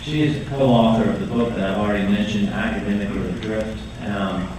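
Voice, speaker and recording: Low-voiced man chairing in echoey hall. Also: steady tone. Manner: measured, hesitant with ums. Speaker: board meeting chair. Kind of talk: formal meeting remarks. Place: large meeting hall. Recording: echoey hall, distant mic, noise.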